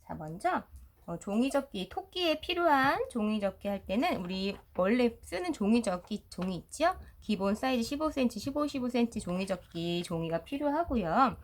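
A middle-aged woman teacher giving a step-by-step craft tutorial in a polite, explanatory way. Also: medium pitch. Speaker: children's storytelling teacher and video host.

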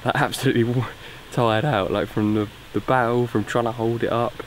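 A man's voice: medium pitch, fairly even intonation, fairly quick. A young British man talking casually as an angler in an outdoor fishing vlog. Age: young adult. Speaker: angler presenting a fishing video. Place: outdoors at a lakeside at night. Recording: clear background noise outdoors.

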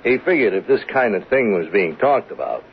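An actor playing a patient, probing police lieutenant in a 1970s radio drama, with informal, unhurried questioning. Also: male voice, fairly low pitch, sing-song, vocal fry. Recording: dull, hissy old radio recording.

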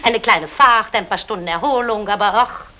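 A woman's voice: mid-range voice, moderate pace, sharp tone, lightly acted. An actress playing a chatty, coaxing middle-aged mother in a vintage German radio comedy. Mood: coaxing, flattered. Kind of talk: 1960 German radio comedy crime play.

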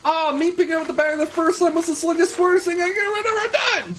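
A man's voice: extremely high pitch, fairly even intonation, fast, fairly bright tone. A man talking casually with friends as they play a multiplayer game. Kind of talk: casual multiplayer gaming chat. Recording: very echoey, faint music behind.

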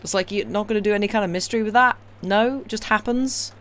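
A woman's voice: mid-range voice, fast talker. A woman chatting casually and ramblingly to a live audience as a stream host, wry, opinionated and enthusiastic. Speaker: livestream host who reads classic novels aloud. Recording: clear steady background noise.

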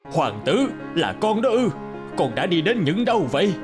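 A man's voice: sits high, sing-song, normal speed, over music. A man voicing a caring, solemn middle-aged king and father in a fairy-tale cartoon. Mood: moved. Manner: solemn, emotive.

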